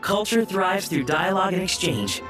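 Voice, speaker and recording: A man's voice: sits high, sing-song, normal speed, a bit bright, soft background music. A man playing a polite, earnest young researcher from abroad in a video game, courteous and eager.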